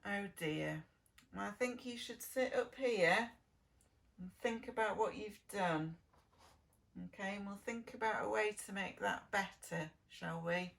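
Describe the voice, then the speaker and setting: A woman teacher telling a story to preschool children in a sing-song, exaggerated way, gently asking them questions. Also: medium pitch, unhurried, vocal fry. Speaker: preschool early years teacher reading a story.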